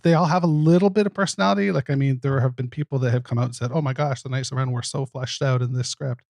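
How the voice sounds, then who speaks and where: A man's voice: mid-range voice, fairly even intonation, fast talker, creaky, darkish tone, no echo. A man speaking casually as a guest producer in a podcast discussion.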